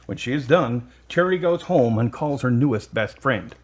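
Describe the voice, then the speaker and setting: A man's voice: medium pitch, expressive, normal speed, darkish tone. A man narrating a scripted story as the narrator of an audio drama.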